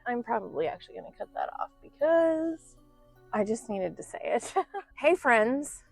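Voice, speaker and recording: Chatty, slightly croaky woman in her forties. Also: fairly high pitch, sing-song, moderate pace, no echo, soft background music. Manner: chatty, swears casually, says y'all and right. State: a little croaky and stuffy. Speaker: artist and channel host. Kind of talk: casual storytime chat.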